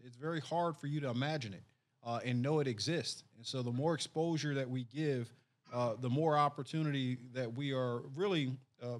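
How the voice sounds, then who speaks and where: A man, a sheriff, hosting a podcast interview in an earnest, measured way with frequent restarts. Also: medium pitch.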